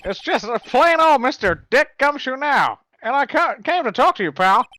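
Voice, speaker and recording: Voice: sits high, big pitch swings, normal speed, sharp tone, slight background noise, full character voice. A performer voicing a goofy, broke male detective in a fan game dub, hearty and chummy.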